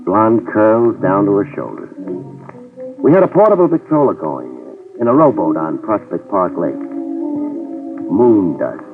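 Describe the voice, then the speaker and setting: An actor playing a wisecracking New York private detective who narrates a 1950s radio drama. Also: male voice, on the low side, big pitch swings, measured pace, pauses often, far from the mic. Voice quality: dry, wisecracking. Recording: tinny old radio broadcast with music.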